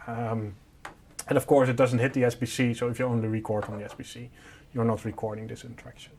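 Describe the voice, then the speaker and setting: A man's voice: mid-range voice, flat delivery, fast talker, a little mellow, slight background noise. A man presenting a product, explaining a technical solution in an informal, step-by-step and simplified way.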